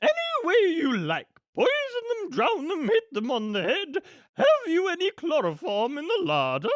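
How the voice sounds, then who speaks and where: A woman's voice: high voice, huge pitch swings, moderate pace, no room sound, studio quality, pitched above the performer's own voice. A woman voicing an imperious, gleefully murderous rich villainess from a storybook, with a haughty, theatrical, commanding manner. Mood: exasperated, bloodthirsty.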